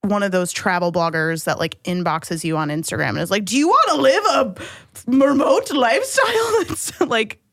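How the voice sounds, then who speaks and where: A woman's voice: medium pitch, animated, normal speed, fairly bright tone, no room sound, clean studio sound. A young woman hosting a casual podcast chat, bubbly and teasing, laughing often at her guest. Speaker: podcast host.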